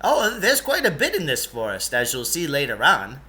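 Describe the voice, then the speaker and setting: Guy doing a jumpy proud otherworld guide for a storybook, kinda fussy and touchy, high sharp voice. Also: wildly swooping pitch, moderate pace, heavily acted. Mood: explaining. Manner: hesitant stammering, then emphatic and touchy.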